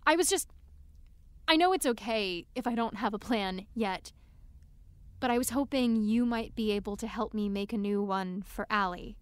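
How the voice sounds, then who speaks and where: A woman's voice: medium pitch, moderate pace, clear, resonant tone, dry recording, close to an everyday voice. A young woman playing an earnest, anxious, apologetic teenage girl in an audio drama, hesitant and rambling. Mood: hesitant, pleading. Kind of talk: naturalistic audio drama acting.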